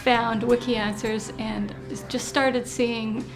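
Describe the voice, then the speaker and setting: A woman from Colorado answering interview questions, halting and repeating words. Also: fairly high pitch, little pitch movement, gravelly, soft background music, poor audio. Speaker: site volunteer.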